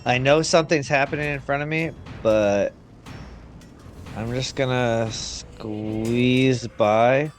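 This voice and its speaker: A man's voice: medium pitch, slow, faint music behind. A man playing a tabletop game, thinking aloud in a casual, hesitant way. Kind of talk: casual, halting game talk.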